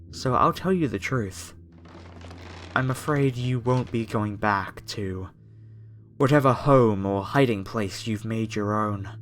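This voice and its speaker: A man's voice: mid-range voice, slight background noise. A man playing a menacing, reverent cult fanatic in a horror ASMR roleplay, speaking to a captive in a theatrical, falsely soothing way. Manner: slow, theatrical, preacher-like, softly coaxing. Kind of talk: horror ASMR roleplay, scripted monologue to the listener. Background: faint music.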